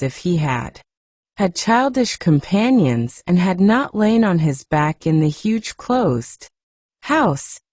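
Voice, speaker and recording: A woman reading a classic children's novel aloud in a soft, gentle audiobook style. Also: dry recording, studio quality. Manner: gentle, acts dialogue with raised pitch. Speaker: narrator.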